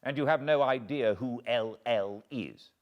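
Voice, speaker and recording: A man doing a brisk young British baronet. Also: mid-range voice, lo-fi sound, voice pushed up, natural voice. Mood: inquiring. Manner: brisk, questioning. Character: young male baronet, direct and inquisitive.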